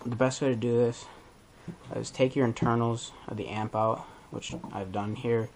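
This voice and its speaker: A man's voice: medium pitch, steady tone, normal speed, darkish tone, a little hiss or hum. A man hosting a DIY video, talking through a hands-on tutorial in a casual, informal way as he thinks aloud.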